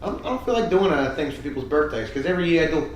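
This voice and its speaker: A young man in his twenties rattles off crude jokes and stories at a quick clip. His voice sits a little high. The room is very echoey, with a faint hiss underneath. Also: lively intonation. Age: 20s. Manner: rapid, crude, joking. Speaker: comedian podcast co-host. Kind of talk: casual chat. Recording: roomy echo with faint hiss.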